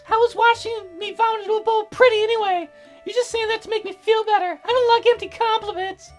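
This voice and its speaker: Man putting on a high-pitched, bitter teenage girl. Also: fairly even intonation, fast talker, faint music behind. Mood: indignant. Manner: stammering when flustered, sharp and blunt. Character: frail fourteen-year-old girl patient, bitter and insecure.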